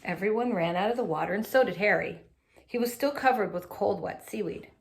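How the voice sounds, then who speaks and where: A woman reading a children's picture book aloud in an animated, expressive way, adding little asides for young listeners. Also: medium pitch, fairly quick, vocal fry.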